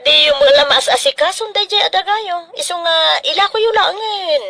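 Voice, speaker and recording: A woman's voice: very high voice, brisk, bright, forceful tone, no room sound, heavily acted. A woman with an Ilocano accent playing a scheming, domineering older in-law in a radio drama, wheedling and exclaiming. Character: pushy, scheming older sister-in-law pressing to buy land.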